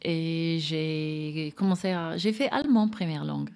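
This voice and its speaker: A woman's voice: low voice, measured pace, vocal fry, darkish tone, smooth. A middle-aged woman from Australia, a native English speaker with an Australian-English accent, chatting as an interview guest with wry, understated humour. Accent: Australian-English accent in French. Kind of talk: casual conversation.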